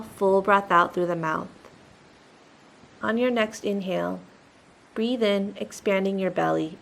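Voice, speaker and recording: A woman narrates a guided meditation with soothing, gentle instruction. Her medium-pitched voice carries noticeable creak. A faint low rumble sits in the background of the recording.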